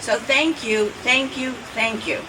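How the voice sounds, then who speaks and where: A woman's voice: a little high, takes it slowly. An American woman giving an upbeat, conversational public speech as a state politician. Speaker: state assemblywoman. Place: outdoors in rainy cold weather. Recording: distant mic, outdoor rain noise.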